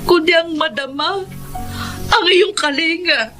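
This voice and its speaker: A woman's voice: extremely high pitch, measured pace, over music. An actress playing a long-suffering, wronged wife and mother in a Filipino radio drama, tearful and pleading, with a Filipino accent. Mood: gently forgiving. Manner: tearful, emotional delivery.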